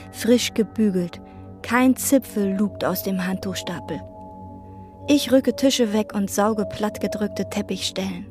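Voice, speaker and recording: An actress playing a withdrawn, meticulous chambermaid who speaks precisely and detachedly, in radio drama and inner monologue. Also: female voice, medium pitch, lightly acted. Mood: inward. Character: meticulous, withdrawn woman chambermaid obsessed with cleaning. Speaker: hotel chambermaid.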